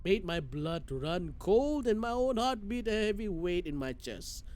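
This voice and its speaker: Animated high-voiced male game streamer. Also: a little slow. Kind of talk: reading game narration aloud with side comments.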